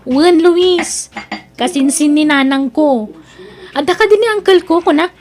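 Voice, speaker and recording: A proud young woman in an audio drama, with a high voice and an Ilocano Filipino accent. She sounds whiny and haughty, a reluctant worker who thinks herself above others. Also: unhurried. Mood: boastful. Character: proud young niece Mira, reluctant new restaurant dishwasher, complaining daughter.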